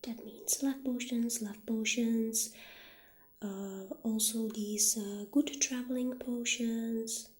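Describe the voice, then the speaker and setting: A woman speaking in a gentle, hushed ASMR roleplay style, partly whispering, as an obliging witch shopkeeper addressing a customer. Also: a little high, steady tone, slow pace. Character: soft-spoken witch shopkeeper, calm and obliging.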